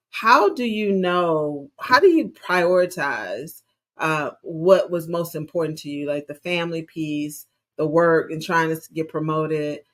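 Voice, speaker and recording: A woman hosting an interview podcast, talking in a chatty, enthusiastic way and laughing often. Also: deep voice. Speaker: podcast host.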